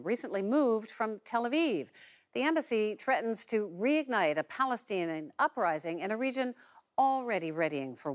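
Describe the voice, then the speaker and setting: A woman working as a news presenter, delivering scripted reports and putting questions to guests in a broadcast style. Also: a little high.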